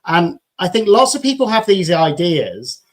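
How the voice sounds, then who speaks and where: A man's voice: high-pitched, sing-song, normal speed. A man from England hosting a live video chat, talking in an enthusiastic, chatty way with frequent restarts. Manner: chatty, enthusiastic, repeats words when starting. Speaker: host. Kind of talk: casual chat.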